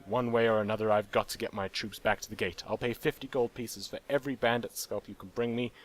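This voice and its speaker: A man reading a brisk female guard officer. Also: on the low side, fairly even intonation, close to an everyday voice, rough recording. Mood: worried.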